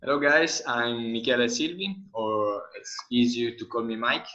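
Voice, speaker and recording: A man's voice: mid-range voice, pushed and bright. Italian man on a muffled call. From Italy. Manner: halting, searching for words. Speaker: team member. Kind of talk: video call introduction. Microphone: video call. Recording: dull lo-fi call audio.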